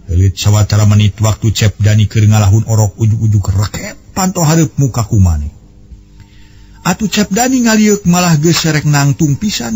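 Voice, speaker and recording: A man's voice: fairly low pitch, dark tone, husky. A man with a West Javanese accent telling a story in dramatic radio style, recorded on old cassette. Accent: Sundanese-language West Javanese.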